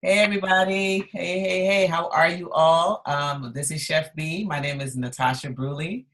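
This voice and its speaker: A woman's voice: low-pitched, moderate pace. Upbeat woman in her 40s, guyana-born brooklyn girl, chatty podcast guest. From Guyana, raised in Brooklyn. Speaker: guest chef and health coach. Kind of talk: casual podcast chat.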